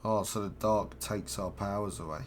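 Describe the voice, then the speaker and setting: A hoarse man who is losing his voice, streaming a game and reading its documents aloud in a flat, steady way. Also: lowish voice, normal speed, faint music behind. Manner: reads in a flat steady voice, swears casually. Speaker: gameplay streamer. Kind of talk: casual let's-play commentary and reading game documents aloud.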